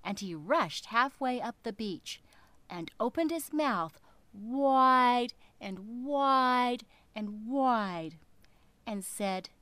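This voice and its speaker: A woman narrating a children's story expressively, with a deliberate, rhythmic, dramatic delivery. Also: on the high side, fairly bright tone, dry recording.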